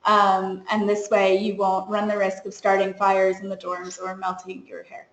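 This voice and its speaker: A woman's voice: medium pitch, completely flat delivery, moderate pace, roomy sound, low-quality recording. A young woman on a study abroad program staff, giving an informal webinar briefing in a chatty way, with lots of fillers and small laughs.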